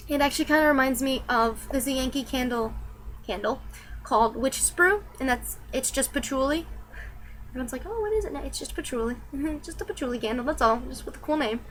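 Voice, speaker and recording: A woman's voice: high voice, a bit bright, a little hiss or hum, poor audio. A young woman, a little sick and nasal, chatting casually and rambling with lots of ums and likes in a product review vlog. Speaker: vlogger.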